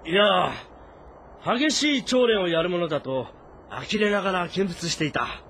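A man playing an earnest, composed warrior commander who speaks in a measured, probing way in a game drama. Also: sits high, expressive, a bit bright, a little hiss or hum, full character voice. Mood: wary. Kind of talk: dramatic audio-novel game acting.

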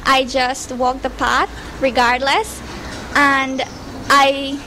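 Brief: woman, 18, interviewee, high voice, earnest, noisy background. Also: little pitch movement, takes it slowly, bright, forceful tone. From Guyana. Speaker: engineering student, interviewee. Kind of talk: interview answers. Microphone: handheld mic.

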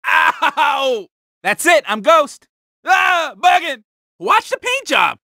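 A vain young tuner car from a racing video game, full of slangy swagger. The voice is bright and forceful, swooping widely in pitch, with an unhurried delivery and a dry, close sound. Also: male voice, sing-song, vocal fry, no echo, heavily acted. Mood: indignant, startled. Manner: slangy, boastful, exclamatory. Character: cocky young male street-racer tuner car, vain show-off. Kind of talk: video game character barks.

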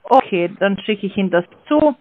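A woman's voice: mid-range voice, expressive, normal speed, dry recording. A woman calling as a sales agent over the phone, talking hesitantly with many filler sounds and non-native grammar. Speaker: sales caller. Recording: dry tinny phone line.

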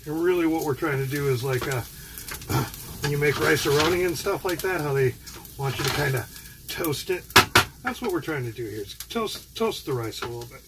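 A man hosting a home cooking show, explaining each step in a relaxed, halting way with many fillers. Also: a little high, heavy background noise. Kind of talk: casual cooking demonstration. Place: home kitchen, indoors.

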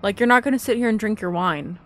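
A woman's voice: mid-range voice, fast talker. A young adult woman giving casual gameplay commentary as a gaming host. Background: game music.

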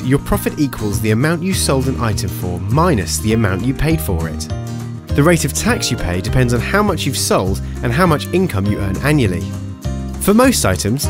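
Male explainer narrator, lively rise and fall, clear guitar music bed. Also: medium pitch. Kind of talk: scripted animated explainer narration.

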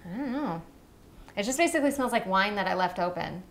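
A woman's voice: medium pitch, animated, brisk, a little hiss or hum. Woman, forties, host, casual wine chat, playful, storytelling, living room set.

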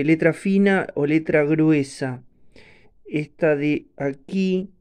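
Argentine man, smooth and soft-edged voice, slightly high pitch, informal video host, halting explanatory delivery. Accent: Argentine Spanish. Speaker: coin channel host. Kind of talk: informal explainer narration to camera.

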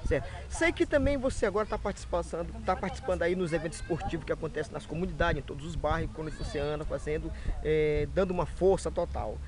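A man's voice: fairly high pitch, fast talker, few pauses. A man asks informal interview questions as a sports reporter, recorded outdoors on a camera. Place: open-air football ground.

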